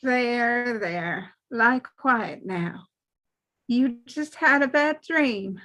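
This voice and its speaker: A high, bright voice from a woman acting as a gentle older farm woman in a script reading. She speaks slowly, in a hushed and soothing way. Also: expressive, exaggerated voice. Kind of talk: screenplay table read.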